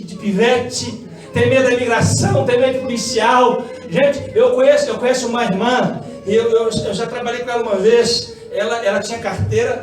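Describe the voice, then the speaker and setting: Mineiro Brazilian pastor, very high male voice, fervent call-and-response preaching, distant and echoey church hall with music. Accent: Mineiro Brazilian Portuguese. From Brazil, Minas Gerais. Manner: impassioned, swinging from hushed asides to shouted climaxes. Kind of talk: Pentecostal sermon with call-and-response. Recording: very echoey church, far from mic, music behind.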